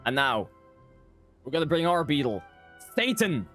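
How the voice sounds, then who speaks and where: A man's voice: on the high side, very animated, moderate pace, a bit bright, soft background music. A man giving casual, joking live commentary as a game streamer, often repeating his phrases.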